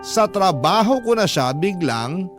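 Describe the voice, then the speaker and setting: A man narrating a radio drama in the first person, reflective and measured. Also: high voice, sing-song. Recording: narration over music.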